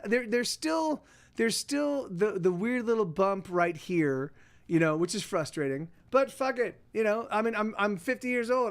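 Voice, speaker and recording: A man in his forties hosting a livestream, chatting casually with viewers in halting, self-interrupting sentences and frequent swearing. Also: high voice, fairly bright tone. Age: 40s. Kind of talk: rambling casual chat.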